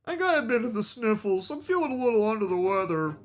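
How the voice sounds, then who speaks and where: A man voicing a whiny grown man who fusses over a small cold, in a mocking, high, sing-song way. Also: sing-song, quick pace. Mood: mocking whine.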